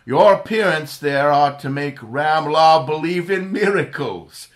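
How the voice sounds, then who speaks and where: A man acting a calm, commanding playboy crime-fighter in a 1930s-style radio drama reenactment. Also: a little high, a little slow, lightly acted. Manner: cool, commanding, reassuring.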